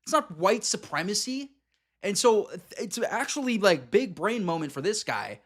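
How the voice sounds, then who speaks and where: High-voiced blunt male streamer. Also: very animated, fairly bright tone. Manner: blunt, emphatic, quick asides to viewers. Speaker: streamer commenting on a clip. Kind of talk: reaction stream commentary.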